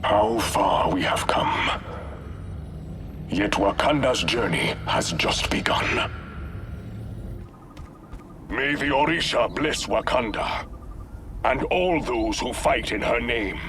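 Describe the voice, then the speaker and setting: A man's voice: mid-range voice, animated, takes it slowly, long pauses, a bit bright, slight background noise. An actor playing a dignified, resolute warrior king in a video game, formal and solemn. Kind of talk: game voice acting, formal diction.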